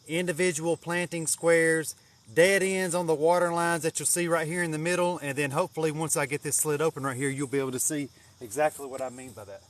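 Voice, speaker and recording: A man's voice: fairly high pitch, fairly bright tone. A man chatting casually on an outdoor livestream as he gives a garden tour, with a folksy, rambling manner and some mild swearing. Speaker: livestream host showing his greenhouse garden.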